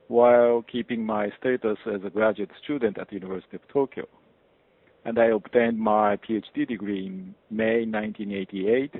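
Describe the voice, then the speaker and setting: A low, gravelly man, his voice slightly husky. He answers interview questions in a measured, formal manner, pausing often. The sound comes through a narrow, phone-like channel. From Japan. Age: 50s. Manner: measured, formal, with frequent pauses and uh fillers. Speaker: guest professor. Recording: tinny phone-like line.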